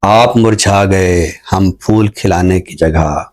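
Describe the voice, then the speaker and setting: A man with a Pakistani accent speaking in a formal, scholarly, measured way on a literary talk show, pausing mid-sentence. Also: deep voice, a little mellow. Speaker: literary talk show guest and book reviewer. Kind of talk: unscripted book commentary and poetry recitation.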